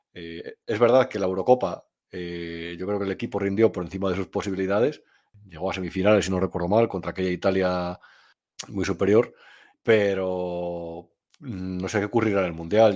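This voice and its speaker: A man's voice: lowish voice, darkish tone. A man from Spain, a journalist giving frank, opinionated answers in an interview, often hesitating and restarting. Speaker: journalist and novelist guest.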